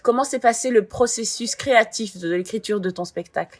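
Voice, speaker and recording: A woman's voice: on the high side, a little fast. A woman interviewing a guest in a casual, familiar and encouraging way. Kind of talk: casual, informal radio interview.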